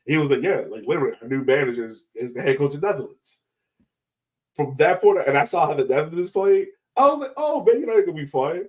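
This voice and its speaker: A man's voice: on the high side, expressive, quick pace, a little mellow, poor audio. A man in his thirties with an American accent hosting a casual podcast chat in a rambling, jokey, slangy way. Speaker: podcast host, interviewer.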